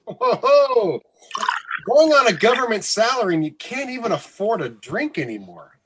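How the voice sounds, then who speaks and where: A man's voice: extremely high pitch, big pitch swings, moderate pace, fairly bright tone, slight background noise, heavily acted. A man acting in a comedy radio play as a sardonic, goading radio co-host with a mocking, exclamatory delivery. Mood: gleeful goading.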